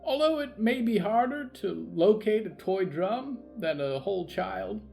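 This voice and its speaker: A man's voice: sits high, animated, measured pace, vocal fry, a little mellow, soft background music, pitched above the performer's own voice, full character voice. A man voicing an imperious, snappish old grandmother in an audio drama, indignant and cutting in manner. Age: older. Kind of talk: audio fiction story acting.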